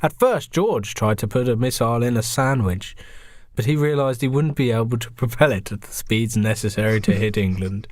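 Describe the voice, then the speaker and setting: A man hosting a podcast, narrating an improvised comic story in a deadpan storybook manner. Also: mid-range voice, normal speed, dry recording, clean studio sound.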